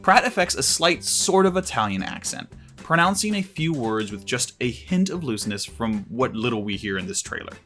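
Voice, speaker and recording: A man delivering scripted commentary as a video reviewer, in a measured, articulate way with emphatic phrasing. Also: mid-range voice, very animated, a bit bright, soft background music.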